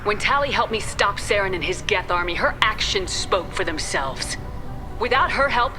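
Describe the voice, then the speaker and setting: An actress playing a tough, blunt military starship captain in a video game, speaking firmly and defiantly. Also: female voice, mid-range voice, lively intonation, moderate pace, over music, natural voice.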